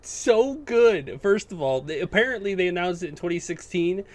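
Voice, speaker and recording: A man co-hosting a podcast, chatting casually about games and movies in an excitable, sweary way. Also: high-pitched, moderate pace. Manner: excitable, profane. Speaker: podcast co-host.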